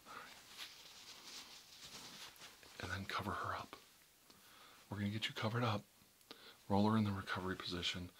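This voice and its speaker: A man speaking softly and reassuringly, often in a whisper, as a caregiver in a medical roleplay. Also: low voice.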